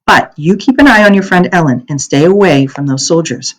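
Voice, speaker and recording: A kindly, protective young man in a storybook, read by a woman. He explains things earnestly in a slightly husky voice with an animated rise and fall. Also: fairly low pitch, fairly quick, poor audio. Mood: grave. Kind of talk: children's novel read aloud.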